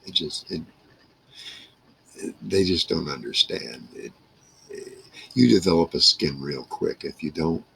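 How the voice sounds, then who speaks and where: A man in his sixties with a gravelly, husky voice, telling rambling, salty stories in a casual monologue to camera. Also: low-pitched, measured pace, long pauses, a bit bright, slight background noise, poor audio. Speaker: vlogger. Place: small wood-paneled room indoors.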